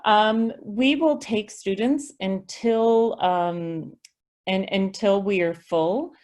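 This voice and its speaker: A woman's voice: mid-range voice, takes it slowly, a little mellow. A woman, a school district representative answering questions on an online video call in a patient, explanatory way. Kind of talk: webinar Q&A, reading and answering questions.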